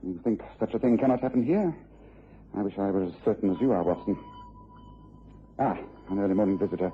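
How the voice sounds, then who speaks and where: An actor playing a precise, coolly analytical English gentleman detective in a radio drama, with a Received Pronunciation accent. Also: on the low side, brisk, a little hiss or hum, natural voice. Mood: grave. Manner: precise, incisive, formal. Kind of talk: BBC radio drama acting. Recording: muffled tinny old radio recording.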